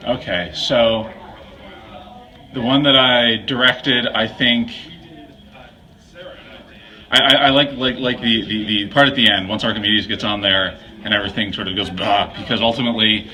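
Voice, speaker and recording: A man speaks casually in an interview in a strikingly bright, forceful voice that sits slightly low. He is halting, restarting phrases and thinking aloud as he searches for each answer. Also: fairly even intonation, low-quality recording. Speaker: actor and play director.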